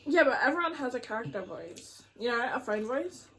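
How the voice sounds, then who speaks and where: A woman's voice: on the high side, big pitch swings, fairly quick, a bit bright, low-quality recording. A young woman chatting casually with her mother on camera, teasing her and laughing often. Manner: teasing, playful, laughs a lot. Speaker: vlogger's daughter, co-host. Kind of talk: casual chat while eating.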